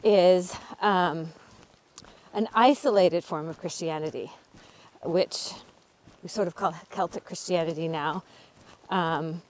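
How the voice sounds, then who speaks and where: A woman's voice: on the low side, slow, pauses often, darkish tone. Middle-aged woman chatting history outdoors on a walk, lots of ums, camera carried along. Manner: explanatory, with frequent ums. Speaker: author and channel host. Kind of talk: casual walking talk about history. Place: outdoors on a cold hillside.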